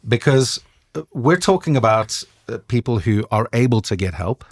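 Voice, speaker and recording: A man's voice: medium pitch, animated, normal speed. A man hosting a conversational interview, putting questions to his guest. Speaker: podcast host.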